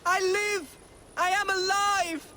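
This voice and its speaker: A man's voice: very high voice, steady tone, slow pace, pushed and bright, a little hiss or hum, full character voice. An actor playing a pompous, theatrical young Saxon nobleman in a game cutscene. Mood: melodramatic. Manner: pompous, theatrical, florid speech. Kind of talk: video game cutscene acting. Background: orchestral game music.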